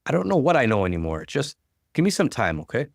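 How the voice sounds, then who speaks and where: A hurt, confused wife in a story reading, played by a male narrator. The delivery is hesitant and guarded, with lively movement in pitch. The sound is dry and close. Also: quick pace, vocal fry, no echo. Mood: withdrawn. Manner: hesitant, faltering.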